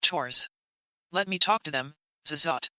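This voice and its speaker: High-pitched, level-headed cautious companion, played by a man. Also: a little slow, very noisy, close to an everyday voice. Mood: concerned, reasoning. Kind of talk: scripted audio drama acting.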